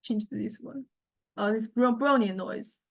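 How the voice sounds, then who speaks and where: Female lecturer, slightly high voice, informal software demo, tentative delivery with pauses to find words. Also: gravelly. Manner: hesitant, thinking aloud, searching for words. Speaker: music technology lecturer.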